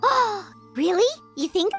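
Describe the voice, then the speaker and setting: A woman's voice: extremely high pitch, expressive, slow pace, over music, exaggerated voice. A woman voicing a tiny, curious, childlike companion creature in a video game. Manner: childlike, inquisitive. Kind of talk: video game character acting.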